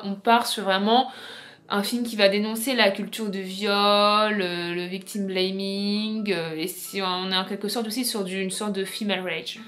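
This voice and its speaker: A woman's voice: mid-range voice, fairly bright tone. A woman presenting an informal video essay as a book vlogger, chatty and explanatory, speaking straight to her viewers.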